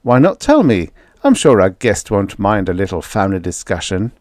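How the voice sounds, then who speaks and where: Easygoing industrialist, read by a man: lifted, lively, dry close sound. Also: on the low side, normal speed, no echo. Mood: amused. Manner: easygoing, mildly amused. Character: calm, wry middle-aged male industrialist. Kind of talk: audiobook character voice.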